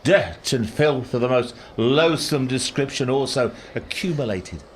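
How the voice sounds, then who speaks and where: A man's voice: medium pitch, animated, a little slow, slight background noise, close to an everyday voice. A man playing a colonial doctor who gives formal, old-fashioned testimony in a radio play. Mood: disturbed. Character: shaken colonial doctor describing a fever ship.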